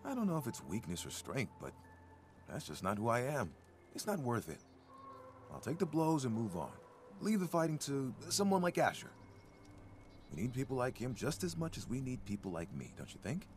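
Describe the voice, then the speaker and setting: An actor playing a gentle, soft-spoken male ghoul who calmly accepts hardship, in video game dialogue. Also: mid-range voice, normal speed, soft background music. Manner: calm, mild, accepting.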